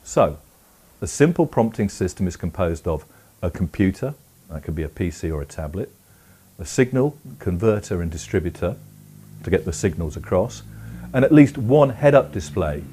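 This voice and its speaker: Middle-aged male presenter, low and soft-toned, for scripted corporate explainer. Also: very animated, moderate pace, a little hiss or hum. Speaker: presenter for a teleprompter company. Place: indoor room full of camera equipment.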